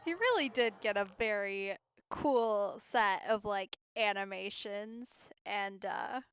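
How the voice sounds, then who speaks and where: Slow-paced woman game streamer. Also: medium pitch, fairly bright tone, clean tone, lo-fi sound. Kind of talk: casual let's play commentary.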